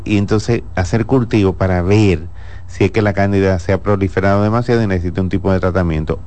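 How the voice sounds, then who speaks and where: A man, a gynecologist who answers listeners on a radio health show in a calm, explanatory way. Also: fairly low pitch. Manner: calm, explanatory, laughs easily.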